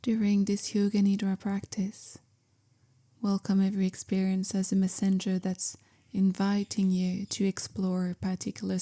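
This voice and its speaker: Calm lady doing guided meditation, soft n soothing, pretty flat pitch, bit of vocal fry, whispery endings. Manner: gentle, calm, measured, softly spoken with breathy whispery endings. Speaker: meditation guide, yoga teacher.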